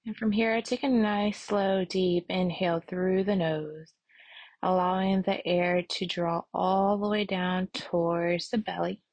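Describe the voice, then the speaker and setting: Female meditation guide: lowish, soft and breathy, soothing, unhurried, prayerful delivery with noticeable vocal fry. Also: fairly even intonation, dry recording. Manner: soothing, unhurried, with long pauses. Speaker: meditation instructor.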